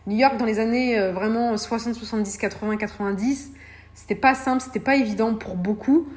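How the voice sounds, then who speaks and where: A woman's voice: fairly high pitch, a little fast, a little mellow. A woman hosting a solo podcast, talking in a hesitant way with many fillers and restarts. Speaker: podcast host.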